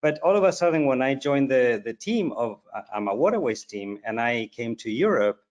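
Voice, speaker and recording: A man from Argentina speaks over a video call. His voice sits at a middle pitch, and his delivery is heartfelt and a little halting, full of "you know" and restarts. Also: lively intonation, lo-fi sound. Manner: warm, emotional, many fillers and restarts. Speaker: company team member and guest. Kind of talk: heartfelt virtual event remarks.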